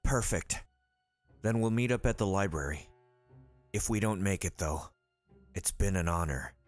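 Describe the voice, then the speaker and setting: Very low male voice, man acting as loyal warrior, stoic, subdued, solemn, visual novel, faint music. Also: expressive, normal speed. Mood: solemn loyalty.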